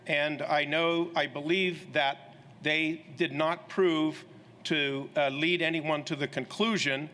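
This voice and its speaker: A man in his sixties, a university president, giving a careful, halting press statement. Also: fairly high pitch, fairly even intonation, pushed and bright, slight background noise. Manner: halting, deliberate.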